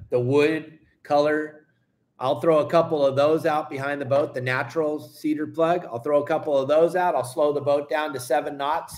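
A middle-aged man who works as a fishing guide and boat captain gives a casual video lesson to camera from a room at home, talking in a folksy, emphatic way and calling his viewers gang. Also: on the high side.

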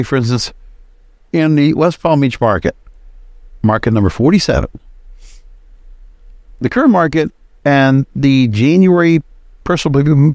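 A man's voice: medium pitch, a little mellow, no echo, studio quality. A man hosting a solo podcast, giving casual, rambling and opinionated commentary. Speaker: podcast host.

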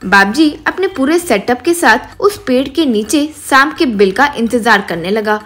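A woman's voice: fairly high pitch, lively intonation, moderate pace, a bit bright, faint music behind. A woman narrating a scripted storybook tale as the narrator.

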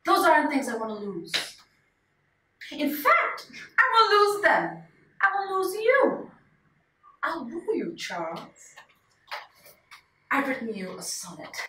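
A woman's voice: high voice, huge pitch swings, takes it slowly, long pauses, pushed and bright, exaggerated voice. A woman playing a desperate, quirky wife refusing a divorce in a stage monologue, dramatic and emphatic, swinging between joking and pleading. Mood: desperate, insistent. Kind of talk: solo stage monologue performance. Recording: roomy, distant mic, faint noise.

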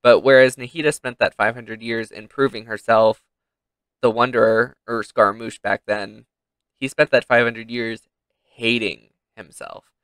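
A man's voice: medium pitch, steady tone, a little slow, fairly bright tone, clean tone, no echo, poor audio. A young man giving casual reaction commentary as a game streamer.

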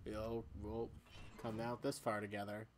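A cynical young crocodile woman from a cartoon game, voiced by a man. The delivery is slow, deadpan and sardonic, in a fairly low register with some noise behind it. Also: lightly acted. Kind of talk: amateur video game voice-over.

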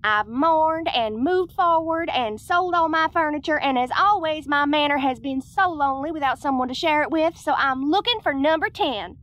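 Woman acting a rich alien widow, Southern US accent, high voice, folksy, chatty, audio roleplay. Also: expressive, normal speed, hardly any pauses, fairly bright tone. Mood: friendly, flirty. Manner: folksy, chatty, self-assured. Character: chatty, folksy, long-lived alien widow and business boss.

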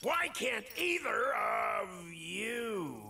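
An actor playing a small, hot-tempered male villain sorcerer in a video game, gloating and shouting insults. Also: sits high, sing-song, extremely slow, gravelly, very noisy, full character voice. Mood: furious. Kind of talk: video game cutscene acting.

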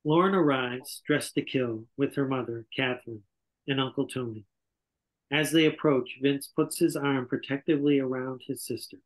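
A man's voice: mid-range voice, fairly even intonation, moderate pace, low-quality recording. An older man reading scripted stage directions as narrator at a table read over a video call. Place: indoor room on a video call. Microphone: video call microphone.

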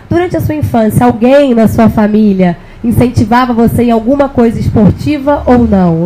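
A woman's voice: fairly high pitch, background noise. A woman moderating a panel on an indoor stage, asking questions into a handheld mic. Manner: leading questions, friendly prompts. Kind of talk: panel discussion. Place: indoor event stage with sponsor backdrop.

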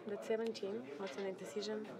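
A woman's voice: medium pitch, level tone, a little fast, darkish tone. A young woman working as a casino dealer, politely announcing the game in formal set phrases. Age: young adult. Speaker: live casino blackjack dealer. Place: indoor casino studio.

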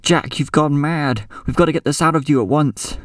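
An actor playing a frightened, bewildered captive man in a horror audio drama, halting and anxious. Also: on the high side, no echo, studio quality, natural voice. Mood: pleading, afraid. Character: frightened, bewildered male captive and former cult member. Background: music.

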